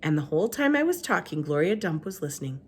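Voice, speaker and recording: Lively woman reading a storybook. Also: quick pace, a little hiss or hum. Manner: expressive, lively pitch. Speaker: teacher reading aloud. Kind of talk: storybook read-aloud narration.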